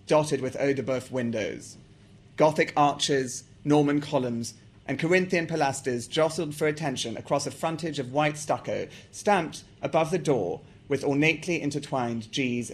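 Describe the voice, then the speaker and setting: A man's voice: medium pitch, a little slow, breathy edge. A man with an English accent, from South Africa, talking about his novel and reading from it aloud. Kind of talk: author talk and reading aloud.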